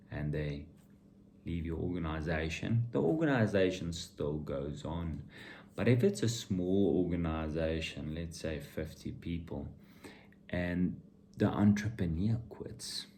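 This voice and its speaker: Middle-aged male vlogger, low and unhurried, blunt and opinionated, for an informal motivational monologue. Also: dark tone, a little hiss or hum. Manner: blunt, opinionated, emphatic with repetition. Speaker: vlogger and entrepreneur giving motivational talk.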